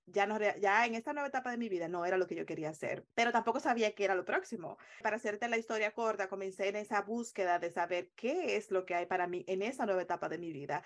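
Female coach, Dominican accent, mid-pitched, rapid and earnest explanatory interview delivery. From Dominican Republic. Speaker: business coach, interview guest. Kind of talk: conversational interview answers.

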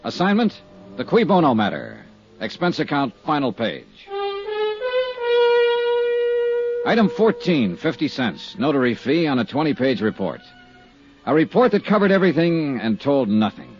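An American actor playing a world-weary hardboiled insurance investigator in a 1950s radio drama, with clipped, dryly emphatic delivery. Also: male voice, medium pitch, wildly swooping pitch, moderate pace, over loud music. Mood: dry, resigned. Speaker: radio drama lead and narrator. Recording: muffled vintage 1950s radio recording.

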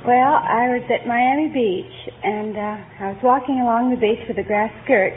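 A woman's voice: on the high side, moderate pace. A woman from New York State chatting and telling a story as a quiz contestant. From New York State, United States. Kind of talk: conversational storytelling. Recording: tinny old radio recording, faint noise, audience. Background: studio audience.

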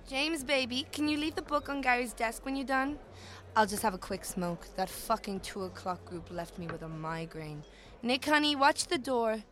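An actress playing a brash, bossy floor manager at a bar who calls people honey and swears. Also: female voice, on the high side, normal speed, a bit bright, close to an everyday voice. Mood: weary, bossy.

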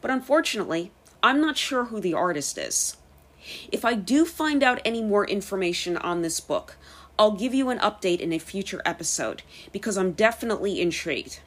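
A woman with an American accent hosting a podcast, reading scripted narration in careful, clear phrases. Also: mid-range voice, lively intonation, a little fast. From United States. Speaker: podcast host and storyteller.

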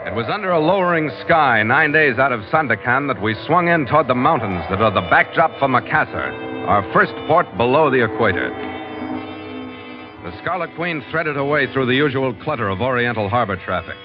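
Casting: man as seasoned, wry ship's captain for radio adventure narration, medium pitch, dulled vintage sound. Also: animated, brisk, close to an everyday voice. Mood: brooding, matter-of-fact. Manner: terse, wry, matter-of-fact. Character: hardboiled, seasoned American ship's master, man. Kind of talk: 1940s radio drama narration. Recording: muffled vintage radio, music underneath.

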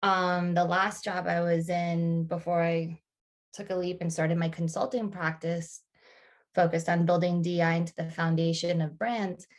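A woman's voice: fairly low pitch, little pitch movement, a little slow. A woman in her thirties, a podcast guest, speaking thoughtfully in casual conversation with frequent restarts and fillers.

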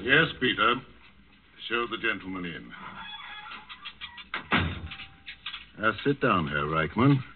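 A man's voice: lowish voice, very animated, normal speed, narrow, tinny audio, over music. A man acting an urbane, unflappable psychiatrist with a sardonic, slyly defiant manner in a 1940s radio drama. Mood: genial, distracted. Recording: thin, band-limited old radio recording.

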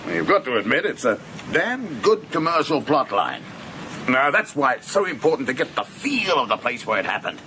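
An actor playing a boorish, excitable film producer in a radio drama, brash and blustering, tending to stammer. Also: male voice, medium pitch, slight background noise, heavily acted. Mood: brash, enthusiastic.

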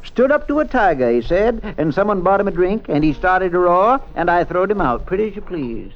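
An actor playing a chatty, folksy, indignant barkeep in a classic radio drama. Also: male voice, sits high, sing-song, fairly quick. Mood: indignant, gossipy. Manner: folksy, repeats pet phrase. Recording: muffled old radio recording.

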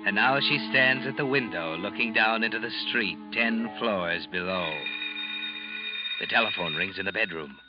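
A man playing a sardonic, knowing narrator of a 1940s radio thriller, speaking deliberately and ominously. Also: on the low side, close to an everyday voice. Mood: ominous, taunting. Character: sardonic, omniscient male narrator addressing the guilty character. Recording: muffled old radio recording, loud music behind.

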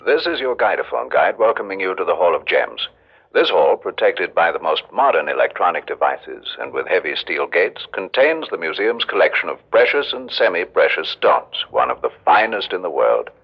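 An actor playing a recorded museum guide in a radio drama, narrating formally with measured, grandiose solemnity. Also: male voice, low voice, sing-song, slightly rough, full character voice. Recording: dull old radio recording.

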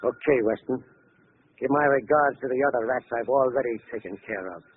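Actor as a menacing American gangster, tinny old radio. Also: male voice, medium pitch, brisk, lightly acted. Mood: threatening. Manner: menacing, taunting, slangy tough talk. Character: cocky, vengeful male gangster back from supposed execution. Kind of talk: 1940s radio acting. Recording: noisy, tinny old radio broadcast recording.

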